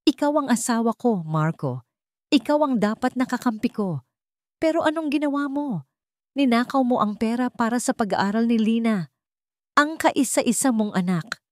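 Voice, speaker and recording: A woman's voice: fairly high pitch, lively intonation, normal speed, a little mellow, no echo, pitched above the performer's own voice, lightly acted. A wronged wife played by a woman reader speaks with bitter defiance, finished with being used. It is dramatic audiobook dialogue, recorded dry and close. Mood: bitter, angry.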